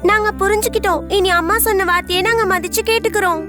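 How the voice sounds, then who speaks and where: A woman's voice: very high voice, fast. A woman voicing a cheeky, defiant little chick in a children's cartoon, childlike and petulant, with music behind. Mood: sheepish. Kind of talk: children's cartoon story acting.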